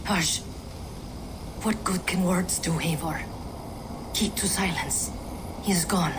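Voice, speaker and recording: A grieving Norse widow, whispering. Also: female voice, fairly low pitch, takes it slowly, noisy background. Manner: hushed, whispering farewell. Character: grieving Norse widow mourning her lover.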